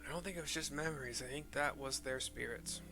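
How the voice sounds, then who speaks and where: Male game streamer, informal and rambling, fry-heavy mid-pitched voice over prominent music. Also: quick pace, bright, forceful tone. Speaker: game streamer reading quest dialogue aloud. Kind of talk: casual gameplay commentary and narration.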